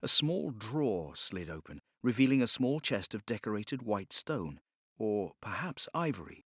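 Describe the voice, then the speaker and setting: A male audiobook narrator with a fairly low voice reads dramatic fiction. His delivery is measured and solemn, carefully scripted, and the recording is close and dry with no room sound. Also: very animated, fairly bright tone, no echo.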